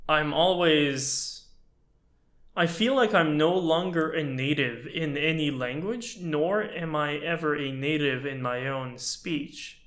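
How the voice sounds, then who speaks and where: A man's voice: fairly high pitch, takes it slowly, a bit bright. A man in his twenties whose first language is French, casually and thoughtfully looking back on his channel with chatty, self-deprecating asides. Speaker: YouTuber and animator. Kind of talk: casual reflective talk.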